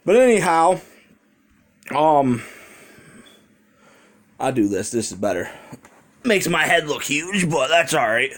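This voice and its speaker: A man's voice: on the high side, sing-song, takes it slowly, pauses often. An American man talking in a rambling, conversational way with casual swearing, telling a story as a vlogger into a handheld phone.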